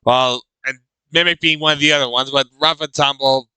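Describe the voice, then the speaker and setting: A man with a very bright, clean voice hosts a reaction video. He talks casually and haltingly, doubling back on his phrases. The sound is close and dry. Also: medium pitch, steady tone, clean tone, dry recording, poor audio. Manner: halting, repeats and restarts phrases. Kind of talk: casual live reaction commentary on a comic dub.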